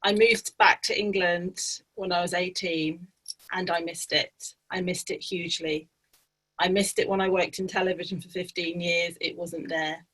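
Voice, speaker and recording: A woman's voice: lowish voice, fairly even intonation, moderate pace, rough recording. A woman who grew up in Barbados, speaking with passion as a panelist on a webinar call. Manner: impassioned, grows louder and urgent.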